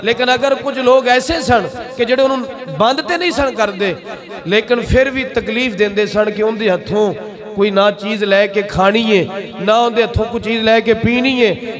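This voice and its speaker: High-pitched Punjabi preacher, man with crowd behind. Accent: Pakistani Punjabi. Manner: emphatic, rhetorical repetition and questions. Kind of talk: sermon.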